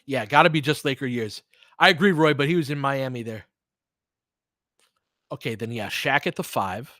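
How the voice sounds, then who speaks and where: A man's voice: medium pitch, normal speed, sharp tone, dry recording. A man with a mid-range voice hosts a casual sports stream. He talks conversationally, working out his thoughts aloud and sometimes leaving them unfinished. The sound is close and dry, with very little room in it. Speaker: host of an audio play-by-play fan stream. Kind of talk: casual sports chat, answering listeners during play.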